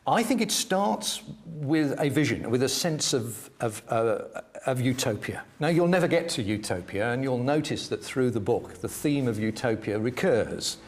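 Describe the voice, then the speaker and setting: A man's voice: medium pitch, lively intonation, normal speed, hardly any pauses, slight background noise. A man who is a head teacher and author, speaking about his work in a reflective, literate way. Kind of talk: reading aloud and reflective interview talk.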